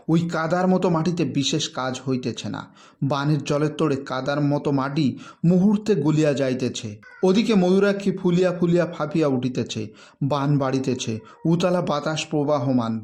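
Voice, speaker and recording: Higher-pitched man narrating a literary story. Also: darkish tone. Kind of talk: dramatic literary audiobook-style narration.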